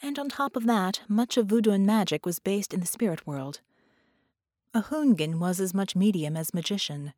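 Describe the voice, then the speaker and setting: A woman's voice: mid-range voice, lively intonation, moderate pace, warm tone, no echo, studio quality. A woman reading scripted fiction as an audiobook narrator.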